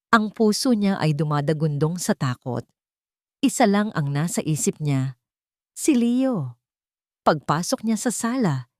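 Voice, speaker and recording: A woman with a fairly low voice tells a dramatic story as its narrator. The delivery is scripted storytelling, and the sound is dry and close. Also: darkish tone, no echo.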